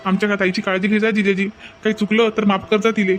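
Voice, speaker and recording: A man voicing an obliging village fellow at a cartoon wedding, hurried, soothing and repetitive, with a Varhadi Marathi accent. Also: high-pitched, flat delivery, soft background music, lightly acted. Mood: matter-of-fact. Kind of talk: homemade cartoon family drama. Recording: dull, lo-fi audio.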